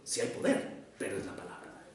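A psychoanalyst from Mexico with a Mexico City accent, giving an academic lecture in a measured, deliberate way with short pauses between phrases. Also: male voice. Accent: Mexican Spanish, Mexico City. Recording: echoey room, voice picked up from far off.

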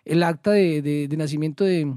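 A man, a legal expert guest explaining procedures in halting speech with many restarts and tag questions. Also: on the high side, dark tone, dry recording, clean studio sound. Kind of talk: explanatory radio interview.

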